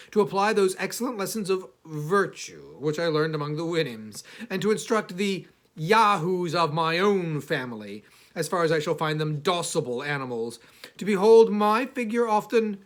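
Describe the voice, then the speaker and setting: A haughty, misanthropic older gentleman traveller, voiced by a male reader, recounts his memoir in formal literary narration. His pitch rises and falls widely, and he halts theatrically, leaning on chosen words with emphatic pauses. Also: full character voice. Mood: lofty, formal. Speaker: audiobook reader. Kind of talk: dramatic first-person literary narration.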